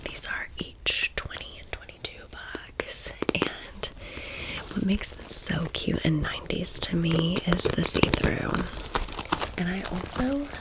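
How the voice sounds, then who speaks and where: An American woman in her thirties whispering an ASMR storytime in a breathy, casual, confiding, rambling way. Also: lowish voice, poor audio. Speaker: ASMR video host.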